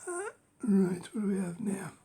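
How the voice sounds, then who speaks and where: A man with a hoarse, gravelly voice that keeps dropping to a half-whisper, musing unhurriedly over his book collection with many ums and rights. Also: sits high, fairly even intonation, moderate pace, dark tone, slight background noise. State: losing his voice. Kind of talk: casual collection walkthrough.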